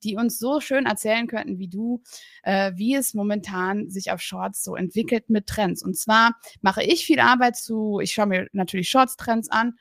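A woman's voice: mid-range voice, normal speed, gravelly, a bit bright, no echo, studio quality. A woman hosting a podcast interview remotely, upbeat and chatty with many fillers. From Berlin, Germany. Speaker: podcast host. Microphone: remote recording, separate room.

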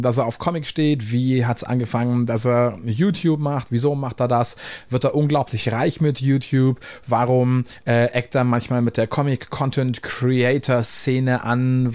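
A man's voice: medium pitch, a little mellow. A man in his forties hosting his own podcast, talking in a chatty, rambling way with many fillers. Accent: German. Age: 40s. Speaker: podcast host. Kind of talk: casual monologue.

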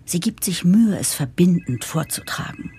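An earnest, diplomatic office narrator in an audio drama. Her voice is slightly low and husky, with very varied intonation. She speaks formally and with composure. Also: lively intonation, moderate pace, mellow, exaggerated voice. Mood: composed. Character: earnest, composed woman narrator championing change, jargon-heavy.

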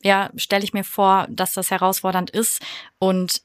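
A woman's voice: medium pitch, completely flat delivery, normal speed, smooth. A woman hosting an interview, asking questions in a friendly, affirming way. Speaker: interview host. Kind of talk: conversational interview.

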